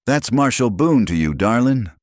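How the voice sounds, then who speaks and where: A man voicing a weathered, wry male marshal in a slow drawl with a gravelly edge. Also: medium pitch, big pitch swings, no room sound, clean studio sound, voice pushed up, lightly acted.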